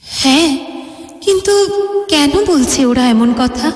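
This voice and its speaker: A woman's voice: high voice, animated, a little slow. A woman playing an earnest, wondering companion in a Bengali audio drama, putting questions in a theatrical way. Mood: troubled, questioning. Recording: long echoing reverb, faint music behind.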